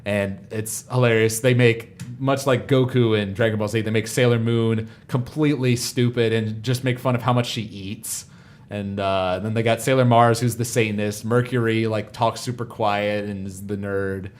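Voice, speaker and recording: A man's voice: lowish voice, fairly even intonation, normal speed. A man talking casually and enthusiastically as a podcast panelist, explaining fan favorites in detail. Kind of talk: casual fan chat about anime parodies.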